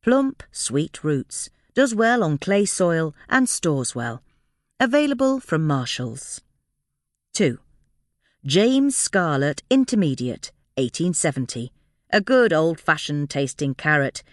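A woman reading a scripted magazine-style article for a podcast in a measured, carefully enunciated way. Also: deep voice, sing-song, no room sound, studio quality. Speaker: podcast episode reader.

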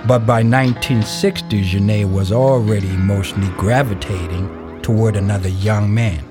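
A man reading scripted narration for an audio play in a measured storytelling way. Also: lowish voice, steady tone. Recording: music behind the voice. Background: music.

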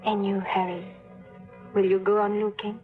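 A composed, formal young woman who speaks softly and chooses her words with care, as a woman plays her. The sound is a thin, phone-like 1950s radio broadcast with clear music behind it. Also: mid-range voice, little pitch movement, moderate pace, close to an everyday voice. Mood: quiet. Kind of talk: 1950s radio drama acting.

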